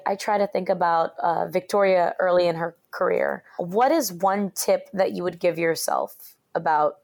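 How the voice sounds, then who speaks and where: A woman hosts an interview with a fairly low voice that has a lot of gravel in it. She keeps things conversational and affirms her guest often. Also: moderate pace. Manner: warm, affirming, frequent backchannels. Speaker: interviewer, host.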